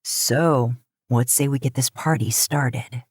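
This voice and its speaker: A woman voicing a gruff, sardonic, wisecracking man in an audio story. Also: extremely low pitch, fairly even intonation, slow pace, a little mellow, no room sound, clean studio sound, pitched below the performer's own voice, heavily acted. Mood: upbeat. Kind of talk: fan fiction audio story reading.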